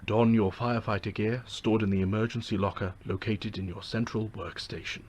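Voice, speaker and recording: A man's voice: on the low side, fairly even intonation, brisk, darkish tone, slight background noise, close to an everyday voice. A man playing the upbeat narrator of an old training video, explaining safety procedures. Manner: upbeat instructional delivery.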